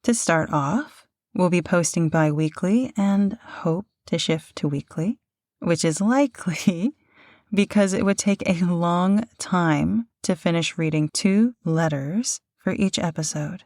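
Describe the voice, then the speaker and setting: A woman with a fairly low voice talks as a podcast co-host. She is gentle and measured, reflective like someone sharing a personal story, in a very dry, close recording. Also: dry recording.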